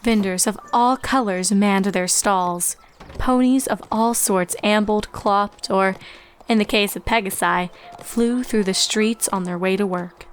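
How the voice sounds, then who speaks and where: A woman's voice: mid-range voice, a little slow, a little hiss or hum. A woman reading scripted storybook narration as the narrator of a fan fiction tale. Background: music.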